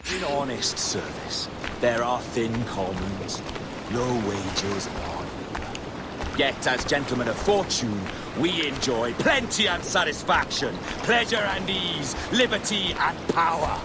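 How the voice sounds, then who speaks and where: A proud pirate captain holds forth like an orator in a video game. His florid phrases rise and fall in great swings. Loud sea and ship noise surrounds him. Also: mid-range voice, lively intonation, slow. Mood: grand, persuasive. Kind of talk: dramatic video game acting.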